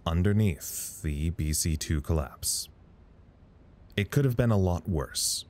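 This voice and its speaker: An actor playing a wry, composed officer in an audio drama, giving a measured, dry-witted report to command. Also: male voice, deep voice, darkish tone.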